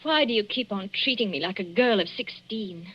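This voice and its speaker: An actress playing a tense, defensive young wife who hides her unease, with a husky voice and clipped, guarded replies in a 1940s radio drama. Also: medium pitch, lively intonation, normal speed. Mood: irritated, defensive. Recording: dull, muffled old radio recording.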